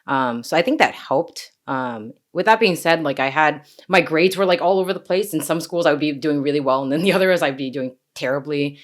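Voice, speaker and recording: A woman's voice: low-pitched, a little fast, hardly any pauses. A young woman from Japan who partly grew up in the US, talking candidly and self-deprecatingly as an interview guest in a casual, reflective way. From born in Japan, grew up partly in the US.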